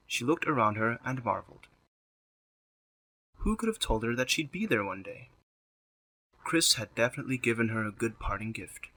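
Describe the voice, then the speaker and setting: A man's voice: mid-range voice, pushed and bright, no room sound, rough recording. A man narrating a scripted story as the narrator of an audio drama.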